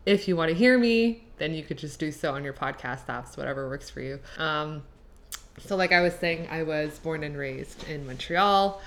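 A woman's voice: low voice, expressive, normal speed, fairly bright tone. A woman in her late twenties from Quebec with a Canadian accent and French as her first language, hosting a podcast in a casual, chatty, extroverted monologue peppered with "like". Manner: chatty, extroverted, filler-heavy with "like". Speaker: podcast host. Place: apartment.

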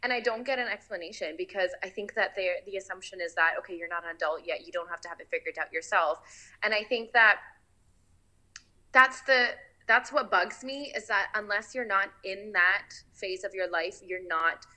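A woman's voice: mid-range voice, moderate pace, pushed and bright. A woman in her twenties talking earnestly and thoughtfully in a casual chat, with lots of "like". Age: 20s. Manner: earnest, thoughtful, uses "like" a lot.

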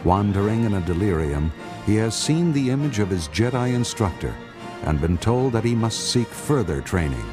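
A man narrating a radio drama from a script in a solemn, deliberate, announcer-like manner. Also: fairly low pitch, animated, loud music behind the voice, natural voice.

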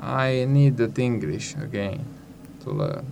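Slow, halting man. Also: mid-range voice, huge pitch swings, vocal fry, a little mellow, slight background noise. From Slovakia. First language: Hungarian. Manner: halting, searching for words. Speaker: guest. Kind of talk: casual interview answers.

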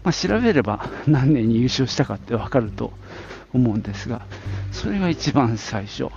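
A man's voice: medium pitch, very animated, normal speed, gravelly, a little mellow, muffled recording, soft background music. A middle-aged man from the Nagoya area of Japan, talking casually on his own as a podcast host, in an informal, rambling way with little asides. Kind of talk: casual solo reminiscing.

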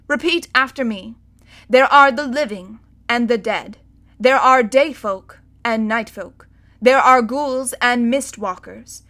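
A woman voicing a strict, stern older female tutor who gives commands in a clipped, forceful way. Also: fairly high pitch.

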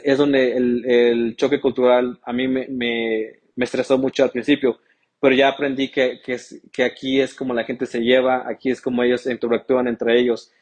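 Hesitant, flat-voiced man raised in the US. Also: mid-range voice. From United States. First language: English. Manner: hesitant, many fillers and restarts. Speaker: interview guest. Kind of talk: casual interview talk.

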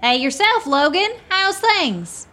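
A woman's voice: sits high, sing-song, takes it slowly, sharp tone, full character voice. A woman playing a folksy, good-humored small-town camp dweller in a radio play, with plain country phrasing. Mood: cheerful.

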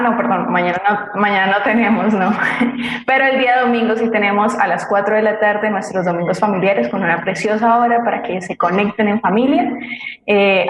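A woman's voice: medium pitch, lo-fi sound. A woman co-hosting a live online show, giving polite thanks and programme announcements over an online call. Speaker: co-host. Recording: echoey room with faint noise.

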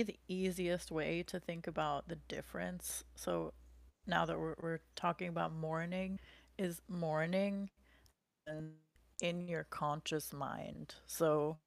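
A woman's voice: lowish voice, gravelly, dry recording. A young American woman co-hosting a podcast, talking through ideas in a chatty way with frequent likes and ums. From United States. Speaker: podcast co-host. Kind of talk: casual conversational explanation.

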